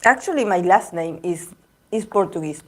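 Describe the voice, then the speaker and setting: A woman chats easily with her livestream viewers in English. Her voice sits a little low, and she often repeats or restarts words as she talks. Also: animated, normal speed. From Argentina. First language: Spanish. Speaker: tarot reader livestream host. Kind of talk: casual livestream chat with viewers.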